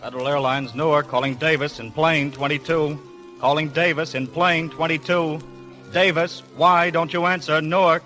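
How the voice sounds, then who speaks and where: An actor playing a dutiful, anxious airline radio operator in a 1930s radio drama. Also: male voice, a little high, fairly even intonation, normal speed, pushed and bright, soft background music. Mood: insistent. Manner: droning, repetitive calling. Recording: old 1930s radio broadcast recording.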